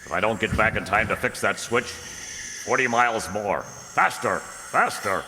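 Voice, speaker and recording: Guy doing low voiced radio superhero, sure of himself, commanding, echoey n noisy recording. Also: unhurried, bright, forceful tone. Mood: determined. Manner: self-assured, narrating own actions aloud. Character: confident, heroic male caped superhero, calm and commanding. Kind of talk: old-time radio drama acting. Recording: reverberant recording with clear background noise.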